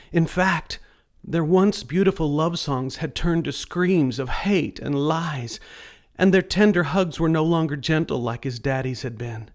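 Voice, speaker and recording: A man narrating a scripted children's story in a deliberate read-aloud manner. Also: on the high side.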